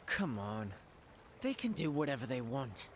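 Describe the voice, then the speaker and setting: A man's voice: medium pitch, measured pace, slight background noise. An actor playing a plain-spoken, earnest young male adventurer in a fantasy video game. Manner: earnest, animated.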